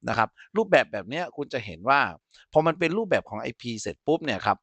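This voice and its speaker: A man with a medium-pitched voice presents an informal technical tutorial. He explains as an instructor demonstrating live, often checking that the listener follows. The sound is dry, with very little room in it. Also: expressive, quick pace, fairly bright tone, no echo.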